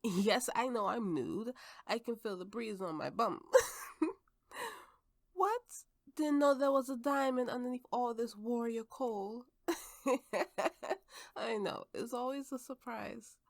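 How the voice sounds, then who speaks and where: A woman's voice: a little high, slow, creaky, pauses often, no room sound, studio quality. A woman voicing a drunken, playful and imperious warrior woman in an ASMR roleplay. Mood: bawdy, teasing. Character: tipsy, haughty, flirtatious warrior woman with a slave. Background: soft background music.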